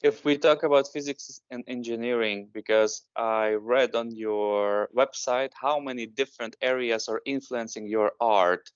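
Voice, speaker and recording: Man hosting with smooth voice. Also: mid-range voice, fairly even intonation, measured pace, hardly any pauses, no room sound, dull audio. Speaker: podcast host. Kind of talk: interview questions.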